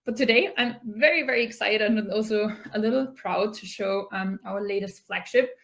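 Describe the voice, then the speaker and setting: A woman's voice: medium pitch, moderate pace, fairly bright tone. A woman from Austria with an Austrian accent, giving an online meetup presentation in a friendly way with frequent "um" fillers. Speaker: conference speaker. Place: home office, remote.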